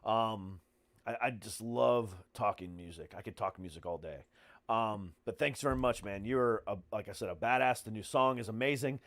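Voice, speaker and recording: A man's voice: lowish voice, normal speed, a bit bright, dry recording. An American man hosting a podcast in casual chat, speaking bluntly and swearing freely. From United States. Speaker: podcast host and comedian.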